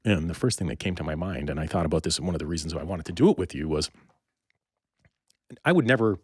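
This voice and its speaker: A low-pitched man hosts a relaxed podcast interview. He feels his way through questions in halting, roundabout phrases, recorded dry with no room sound. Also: breathy edge, dry recording. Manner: rambling, halting phrasing that circles back. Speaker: podcast host. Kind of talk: casual interview chat.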